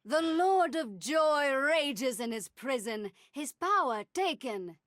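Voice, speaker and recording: Fearless joyful warrior girl from a video game, high voice, swoops around a lot, very grand and theatrical. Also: lively intonation, takes it slowly, fairly bright tone, dry recording, studio quality, exaggerated voice. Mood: epic, proud. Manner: grand, theatrical, declaiming in short epic proclamations. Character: joyous, fearless young woman warrior bound to a demon of joy. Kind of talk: video game champion voice lines.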